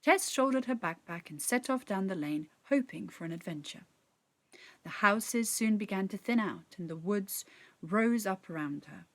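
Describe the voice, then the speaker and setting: A woman narrating a children's story in an expressive, measured, dramatic storytelling style. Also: medium pitch, dry recording. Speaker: actor reading a story.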